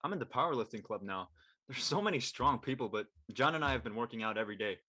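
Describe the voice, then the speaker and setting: A young man playing a jokey, excitable college student with brash, slangy delivery in a comedic drama reading. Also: mid-range voice, fast talker. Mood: playful, brash. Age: young adult.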